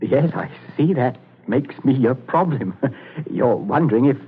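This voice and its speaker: A man playing a stammering, frightened gentleman. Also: mid-range voice, animated, measured pace, slight background noise, natural voice. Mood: nervous. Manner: stammering, nervous, pleading. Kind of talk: 1940s radio acting. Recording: tinny old radio broadcast.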